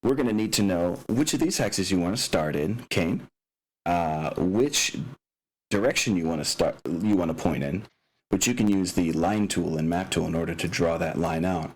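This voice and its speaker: A man talking casually and haltingly as game master, explaining the setup of a tabletop game session. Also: deep voice, rough recording. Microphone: open microphone picking up room sounds.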